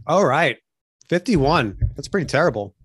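A man co-hosting a podcast in casual chat, quick, teasing and upbeat. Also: on the high side, sing-song, husky, no room sound. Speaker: podcast co-host.

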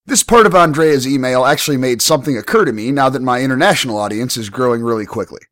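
A man's voice: mid-range voice, quick pace, fairly bright tone, no room sound, studio quality. An American man hosting an advice podcast, reading out listener mail and answering bluntly with casual profanity, while sick from pneumonia. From United States. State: ill, recovering from pneumonia. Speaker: podcast host.

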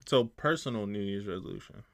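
A man's voice: fairly low pitch, fairly quick. A man co-hosting a casual podcast, playful and teasing, with slangy banter. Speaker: podcast co-host. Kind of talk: casual chat.

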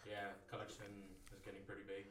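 A man's voice: fairly low pitch, monotone, fast, fairly bright tone, echoey room, recorded from a distance, rough recording. A man of thirty, a podcast guest, chatting casually and slipping between Spanish and English as he tells a story. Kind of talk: casual chat.

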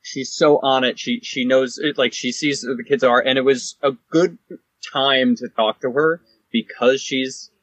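A man co-hosting a podcast, talking casually and pausing often as he finds his words. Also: medium pitch, fairly even intonation, fairly bright tone, no echo, highs cut off. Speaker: podcast co-host.

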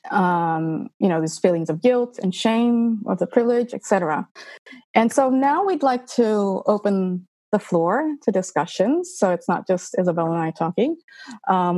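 A woman's voice: fairly low pitch, darkish tone, no room sound. A woman co-hosting a discussion forum, speaking thoughtfully with many hedges and fillers. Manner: thoughtful, hedging, with frequent fillers. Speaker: forum co-host, moderator.

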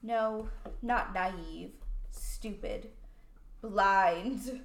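A weary, well-mannered middle-aged mother acted by a woman in an amateur radio drama. She speaks slowly and deliberately with a tired bitterness, and the room echoes around her. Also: mid-range voice, creaky, a little hiss or hum. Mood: weary, self-blaming. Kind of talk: amateur radio play acting. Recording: roomy home recording.

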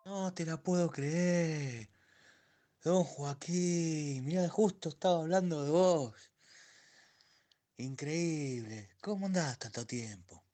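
A man's voice: on the high side, darkish tone, lightly acted. A man playing a nostalgic, middle-aged Argentine former classmate in a comic radio drama, gushing and repeating his phrases. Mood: amazed.

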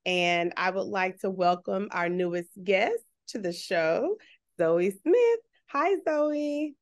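A woman's voice: medium pitch, lively intonation, slow. A woman with a Texan accent hosting a podcast, chatty, restarting phrases and laughing often. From Texas, USA. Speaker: podcast host, therapist. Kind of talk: casual podcast interview.

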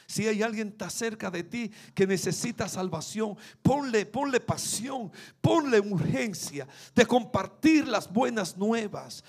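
Fervent preacher, high-pitched. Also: male voice, expressive, measured pace, gravelly, hardly any pauses, slightly rough. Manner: fervent, rising to emphatic peaks, repeats phrases. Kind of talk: sermon.

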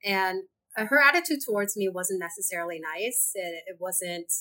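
Bright-voiced woman guest from Hong Kong. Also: medium pitch, moderate pace. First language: Cantonese. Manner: animated storytelling, laughs often. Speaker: podcast guest. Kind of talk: casual conversation.

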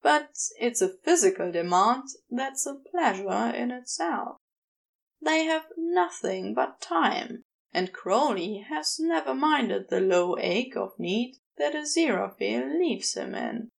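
A woman narrating a scripted story as an audiobook reader, in a measured delivery. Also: on the high side, big pitch swings, gravelly. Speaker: audiobook reader of fan fiction.

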